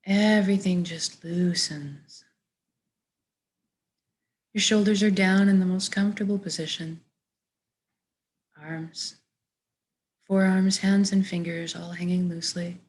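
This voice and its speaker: A woman leading a guided meditation, speaking in a gentle, measured, soft way as she gives soothing instructions. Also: on the low side, fairly even intonation.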